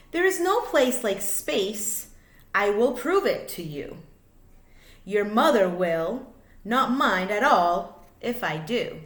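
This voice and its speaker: Slow, animated woman reading to children. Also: fairly high pitch. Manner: sing-song, expressive, drawn-out words. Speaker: teacher reading a picture book aloud to children. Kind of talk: read-aloud children's storytelling. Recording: echoey room.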